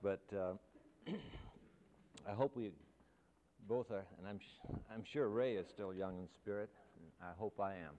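A man's voice: on the low side, a little slow, pauses often, warm tone. A man in his sixties, an architect speaking as guest lecturer to students, reflective and loosely phrased with restarts. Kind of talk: informal talk to students. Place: lecture hall. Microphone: lapel mic. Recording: thin, phone-like, faintly hissy 1970s videotape.

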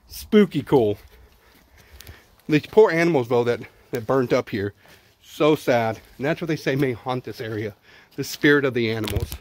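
A man's voice: medium pitch, a little hiss or hum. A middle-aged man with a Texan accent, narrating casually as he films himself walking through outdoor ruins on a handheld phone, thinking aloud and repeating words. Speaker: vlogger exploring ruins. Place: outdoors at a ruined zoo. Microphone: handheld phone camera.

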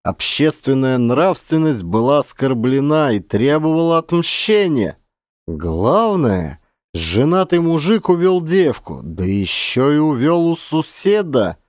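A man's voice: fairly high pitch, big pitch swings, takes it slowly, no room sound, studio quality. A man narrating an audiobook as an actor, with theatrical, emphatic storytelling. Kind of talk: dramatic scripted narration.

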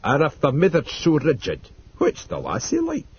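A man's voice: a little high, wildly swooping pitch, moderate pace, a little mellow, slight background noise, pitched above the performer's own voice. A man voicing a shrewd old male servant, speaking in a wry, muttering way. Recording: dull lo-fi recording.

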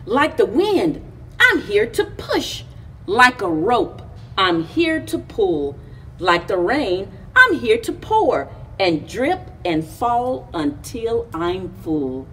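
A middle-aged woman with a slightly husky voice reads a children's picture book aloud expressively, phrasing deliberately with pauses between short lines. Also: on the high side, big pitch swings, a bit bright. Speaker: library story time reader. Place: indoors, in front of a library chalkboard wall. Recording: distant camera microphone.

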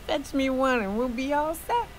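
A boozy guide for hire in a game, brash and blunt with a folksy muddle to her talk. The pitch is animated and a faint noise sits behind the voice. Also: normal speed. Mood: blunt, wheedling. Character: hard-drinking, brash woman guide for hire. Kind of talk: video game character acting.

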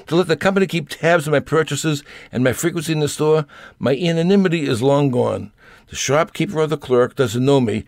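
A man's voice: on the high side, dry recording, clean studio sound. An older man reading a scripted personal essay aloud in a wry, grumbling manner. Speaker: storyteller reading own essay.

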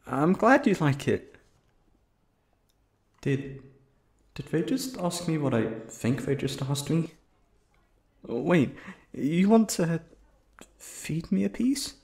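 A man's voice: on the high side, big pitch swings, slow, creaky, pauses often, a little mellow, reverberant, full character voice. A man playing a shy, flustered young man on a first date in an ASMR roleplay, rambling and stammering nervously. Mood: flustered, smitten. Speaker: voice actor.

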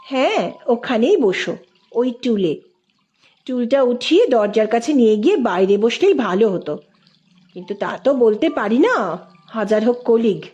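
Woman playing a prim, high-voiced hostel warden. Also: big pitch swings, a little slow, long pauses, mellow, highs cut off, soft background music. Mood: inwardly grudging. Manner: formal, reserved. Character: guarded, prim young woman, hostel warden, easily annoyed.

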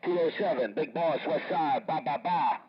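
High-pitched man on muffled CB radio. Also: heavy background noise. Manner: repetitive catchphrases and drawn-out call signs. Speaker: CB radio operator. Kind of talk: CB radio chatter. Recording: muffled CB radio transmission with crowded chatter.

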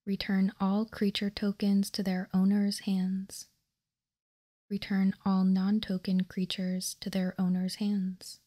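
A woman whispering in a breathy voice as she slowly and deliberately presents trading cards, ASMR style. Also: medium pitch, completely flat delivery, mellow. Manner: slow, deliberate, reading card text piece by piece. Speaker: host of a trading card ASMR channel. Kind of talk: ASMR whispered card showcase.

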